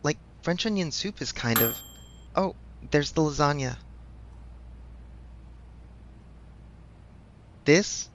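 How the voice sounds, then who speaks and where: A man's voice: medium pitch, gravelly, a bit bright, highs cut off. A man playing a supportive best friend in a casual audio roleplay, speaking frankly with affectionate teasing. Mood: playful, teasing. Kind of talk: ASMR audio roleplay, conversational.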